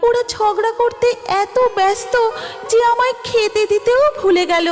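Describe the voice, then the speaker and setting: A woman's voice: extremely high pitch, moderate pace, long reverb tail, faint music behind, pitched above the performer's own voice. A woman voicing a hurt, motherly goddess who coaxes tenderly in a devotional cartoon. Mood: plaintive, tender. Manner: plaintive, motherly.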